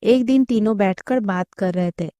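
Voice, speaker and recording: Female storyteller for kids' tales, soft dark tone, very dry close recording. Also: medium pitch, moderate pace, no room sound. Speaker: children's storyteller. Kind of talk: scripted story narration.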